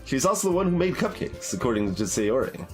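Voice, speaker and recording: A man's voice: medium pitch, sing-song, fairly quick. A man livestreaming a game, reading its narration and dialogue aloud with casual commentary over music.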